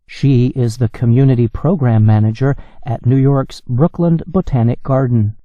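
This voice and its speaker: A man reads a news script for learners in a measured, steady delivery. His voice is very dark and warm, and he reads slowly. The recording is dry and slightly dull. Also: mid-range voice, dry recording. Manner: measured, evenly paced delivery. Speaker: news reader for English learners. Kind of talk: slow, clear scripted reading.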